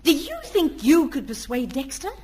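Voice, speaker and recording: Brisk, animated mum. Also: female voice, high voice. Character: brisk, scolding mother and wife. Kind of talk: old-time radio comedy acting. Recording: noisy old radio recording.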